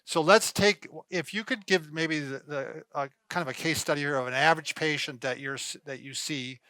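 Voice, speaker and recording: Bright, joking host with a slightly high voice. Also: male voice, moderate pace, no room sound. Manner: joking, rambling, restarts often. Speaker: physical therapist show host. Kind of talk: casual video show chat.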